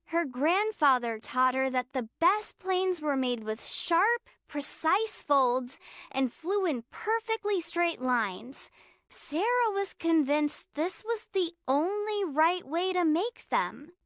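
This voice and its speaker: A woman narrating a children's moral story in a gentle, even storybook manner. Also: high-pitched, slow pace, dry recording, studio quality.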